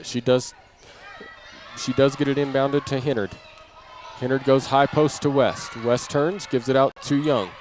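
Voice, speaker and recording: A man's voice: medium pitch, fairly even intonation, measured pace, a little mellow. Man calling basketball play-by-play over gym noise. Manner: clipped running phrases, lifting on big plays. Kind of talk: live radio-style sports commentary. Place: indoor basketball gym during a game. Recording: clearly noisy live gym audio. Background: gym ambience, bouncing basketball.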